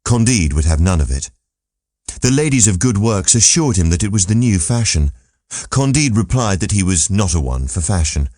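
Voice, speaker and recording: A man reading a literary audiobook as narrator, in a dry, measured storytelling manner. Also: sits low, very animated, darkish tone, no room sound.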